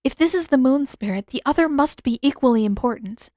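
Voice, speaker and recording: A woman voicing a gentle, earnest young woman with a pleading, devoted manner in an audiobook story. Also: high voice, lively intonation, fairly quick, no echo, studio quality, pitched above the performer's own voice.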